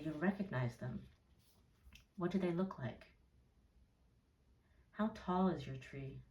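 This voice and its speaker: A woman with a deep, mellow voice leads a guided meditation. She is soothing and measured, letting gentle pauses fall between phrases. The recording is echoey, as if made in an open room. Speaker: therapist leading a guided meditation. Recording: roomy echo, distant from mic.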